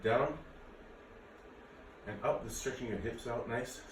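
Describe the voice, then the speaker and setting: A man's voice: mid-range voice, slow pace. A man in his late thirties giving casual, easygoing workout instruction to camera as a fitness instructor. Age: late 30s. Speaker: martial arts and fitness instructor. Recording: echoey room, distant mic, faint noise.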